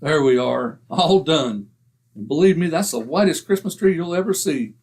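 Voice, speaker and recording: A man playing a brash, boastful husband in an old-time radio comedy, excitable and self-mocking, with a husky voice. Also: on the high side, moderate pace. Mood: blustery. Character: blustering boastful middle-aged husband. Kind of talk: 1940s radio acting.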